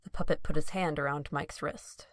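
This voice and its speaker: A woman's voice: sits low, fairly even intonation, moderate pace, no echo, studio quality. A woman reading scripted story narration for an audio drama.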